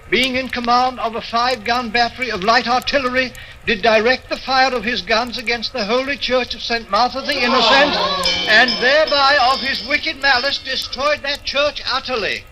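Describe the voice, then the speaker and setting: An officious court clerk in an old radio drama. The voice is extremely high and piercing, with pompous, formal declamation over clear recording noise. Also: male voice, fairly even intonation, moderate pace, bright, forceful tone, exaggerated voice. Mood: declaiming. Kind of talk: 1940s radio acting, reading charges aloud. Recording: noisy vintage radio recording.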